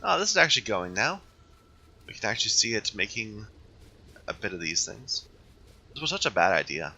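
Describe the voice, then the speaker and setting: A man with a hoarse voice from being sick, hosting a game playthrough and thinking aloud as he plays, often correcting himself. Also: on the low side, very animated, moderate pace, pushed and bright. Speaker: let's play host. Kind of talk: casual gameplay commentary.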